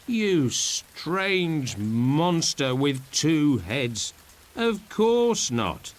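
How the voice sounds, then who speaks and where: A man voicing a bold, wine-drinking castaway in a storybook, with drawn-out exclamations. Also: a little high, sing-song, voice pushed up, heavily acted. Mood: mocking surprise. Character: bold wine-drinking male castaway who wants to be king. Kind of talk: storybook character voice.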